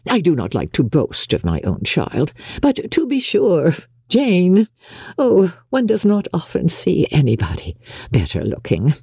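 Narrator doing a fussy boastful middle aged mom, gossipy, pitch all over the place, storybook style. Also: huge pitch swings, unhurried, vocal fry, dark tone, husky, no echo, studio quality, full character voice. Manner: rambling, gossipy, self-satisfied. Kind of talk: audiobook character dialogue.

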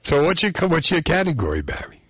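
A man hosts a radio call-in trivia game with a fairly high voice that leaps up and down in pitch. He jokes and teases callers warmly but quickly. His sound is close and dry, straight into a studio mic. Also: wildly swooping pitch, breathy edge, no echo. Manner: upbeat, teasing banter with callers. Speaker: radio host. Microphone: studio microphone.

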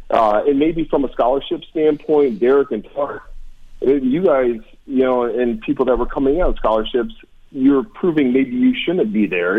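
A man's voice: medium pitch, husky, narrow, tinny audio. An American man, a former college football player, talking earnestly and emphatically as a guest in a conversational sports radio discussion.

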